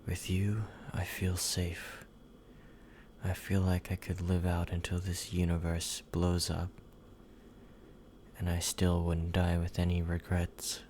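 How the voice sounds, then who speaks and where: A man voicing a possessive yandere vampire in an ASMR roleplay, speaking softly and intimately, sometimes whispering. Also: deep voice, completely flat delivery, a little hiss or hum. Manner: soft, intimate, slow and controlling, slipping into whispers. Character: possessive male yandere vampire, obsessive and menacing.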